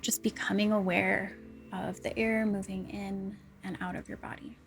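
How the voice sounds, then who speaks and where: A woman leading a guided meditation, speaking in a soft, calm, unhurried and soothing way. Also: medium pitch, creaky, soft background music. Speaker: counselor guiding a body scan meditation.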